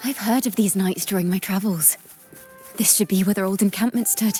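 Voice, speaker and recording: A woman's voice: medium pitch, brisk, dark tone, faint music behind, natural voice. A woman voicing a poised, friendly traveling bard in a video game, articulate and thoughtful. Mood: thoughtful, teasing.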